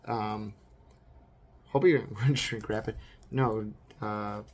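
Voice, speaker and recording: A man in his forties, hoarse from a recent cold, rambling casually on his vlog with lots of fillers and restarts. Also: fairly low pitch, fairly even intonation, slow pace, darkish tone. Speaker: vlogger. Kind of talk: casual unboxing chat.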